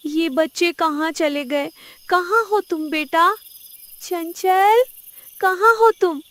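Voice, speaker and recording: High-pitched anxious mother goat played by a woman. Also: slow pace, a bit bright, no echo, full character voice. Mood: worried, pleading. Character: anxious, tender mother goat in a moral fable. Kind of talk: Hindi cartoon story acting.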